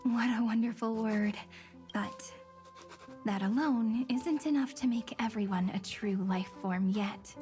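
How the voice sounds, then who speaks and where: A gentle young female sage from a fantasy video game, voiced by a woman, middle in pitch. She speaks softly and poetically with a playful streak, over faint music. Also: lightly acted. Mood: hopeful tender. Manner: soft, breathy, teasing, storytelling. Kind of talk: video game story dialogue. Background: soft game music.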